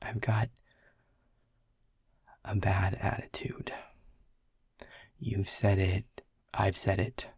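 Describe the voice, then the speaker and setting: A man's voice: deep voice, level tone, drawn-out words, long pauses, a little mellow, husky, no room sound, clean studio sound, heavily acted. A man playing a world-weary male middle manager who is blunt and swears, confessing in a half-whisper. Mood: regretful. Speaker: boss. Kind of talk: audio roleplay acting.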